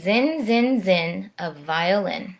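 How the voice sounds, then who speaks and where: Slow-paced woman presenting children's storytime. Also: medium pitch, creaky, a little hiss or hum. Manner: slow, expressive, addressing children directly. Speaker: storytime presenter, orchestra musician. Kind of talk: children's read-aloud and friendly chat to camera. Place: at home, indoors.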